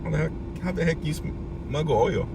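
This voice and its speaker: A man's voice: on the high side, animated, normal speed. A man hosting a livestream, giving casual conversational commentary with muttered asides. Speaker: livestream host. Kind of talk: casual live commentary, reading news aloud. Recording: clear background noise, vehicles, scanner chatter.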